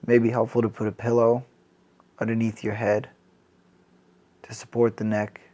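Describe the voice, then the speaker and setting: Even-toned man guiding a meditation. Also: fairly low pitch. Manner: calm, gentle, unhurried with long pauses. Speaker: mindfulness teacher. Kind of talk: guided body scan meditation.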